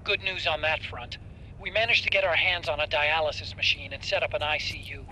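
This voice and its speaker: A man's voice: fairly high pitch, steady tone, brisk, pushed and bright, slight background noise, poor audio. An actor playing a nervous, nerdy young man who is a tech expert in a game cutscene, talking in an anxious, fussy way. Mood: worried. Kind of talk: video game cutscene acting.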